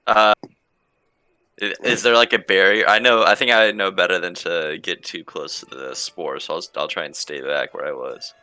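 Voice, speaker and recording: Deep, dry-sounding male tabletop player. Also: fairly even intonation, moderate pace, pushed and bright, no echo. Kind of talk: casual in-game chat.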